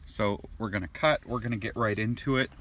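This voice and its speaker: A man's voice: fairly low pitch, brisk, a bit bright. A man giving a casual tarot reading to his viewers. He rambles, repeats and restarts words, and exclaims at the cards as he turns them over. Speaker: tarot card reader.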